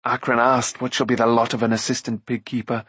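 A man's voice: mid-range voice, flat delivery, brisk, a bit bright, slightly rough, dry recording. A man voicing an earnest, loyal young pig-keeper hero in a fantasy storybook, heartfelt and full of self-doubt. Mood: bitter regret.

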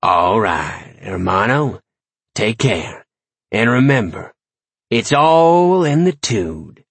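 A man's voice: fairly low pitch, big pitch swings, slow pace, creaky, a bit bright, slightly rough, no room sound, exaggerated voice. A man voicing a cocky, smug warlock adventurer with a swaggering, slangy delivery, in an audiobook.

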